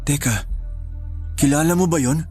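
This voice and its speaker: An actor playing a steady young male friend who talks in a measured, reassuring way in a radio drama. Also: on the high side, lightly acted. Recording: music bed behind.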